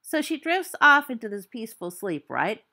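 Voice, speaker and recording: A woman's voice: a little high, big pitch swings, moderate pace, sharp tone, heavily acted. A woman voicing a cocky teenage boy who tells a spooky story in a theatrical, teasing way.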